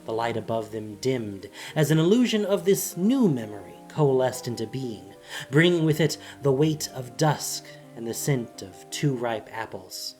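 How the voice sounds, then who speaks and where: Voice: mid-range voice, sing-song, a little slow, a little mellow, soft background music. A narrator reading a scripted fantasy story in a dramatic storytelling style.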